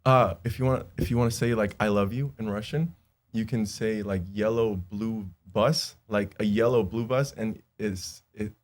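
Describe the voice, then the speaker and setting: A man's voice: lowish voice, fairly even intonation, moderate pace. A man in his twenties from Honduras, a native Spanish speaker, chatting as a podcast guest, with lots of fillers and frequent laughs. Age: 20s. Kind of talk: casual podcast chat.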